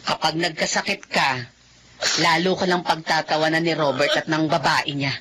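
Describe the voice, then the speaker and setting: A woman's voice: sits low, steady tone, moderate pace, fairly bright tone, a little hiss or hum. An actress playing a worried mother in a radio drama, pleading and scolding her grown daughter. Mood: stern concern. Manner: pleading, stern and caring. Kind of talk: Filipino radio drama acting.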